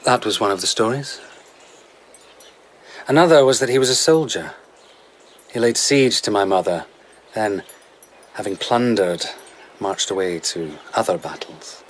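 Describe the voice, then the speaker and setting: A man's voice: lowish voice, unhurried, pauses often, a little hiss or hum, lightly acted. A man in a radio drama playing a dreamy, hard-drinking vagabond who tells lyrical, rambling tales as a royal favourite. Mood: wistful, teasing.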